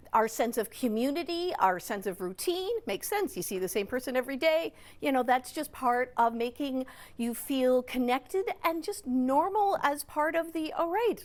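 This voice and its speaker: Casting: woman, Canadian accent, notably high voice, chatty expert guest who explains through animated everyday examples. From Newfoundland and Labrador, Canada. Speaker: psychologist, regular TV guest expert. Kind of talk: conversational interview explanation.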